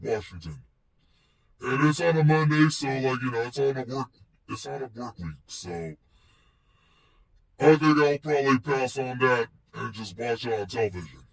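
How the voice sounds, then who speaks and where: A man's voice: mid-range voice, creaky, distant mic, low-quality recording. A man vlogging casually about wrestling in a slangy, rambling, playful way, swearing freely. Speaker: vlogger and show host. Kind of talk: casual wrestling chat vlog.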